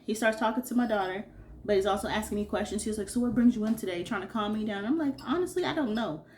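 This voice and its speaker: A sick, congested young woman from Georgia chatting casually in a vlog, telling a story in an animated way and acting out what people said. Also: mid-range voice, fast talker, slight background noise. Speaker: vlogger.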